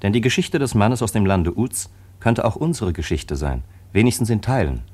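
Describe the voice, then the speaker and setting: A man's voice: on the low side, big pitch swings, normal speed, a little mellow, breathy edge. A man playing a sober commentator who explains the story matter-of-factly in a scripted radio play.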